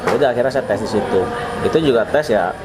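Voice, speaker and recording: A man in his thirties from Sumatra, a branch manager telling his career story in a casual interview, relaxed and chuckling often with lots of fillers. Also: mid-range voice, quick pace, a loud crowd, very noisy. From Sumatra, Indonesia. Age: 30s.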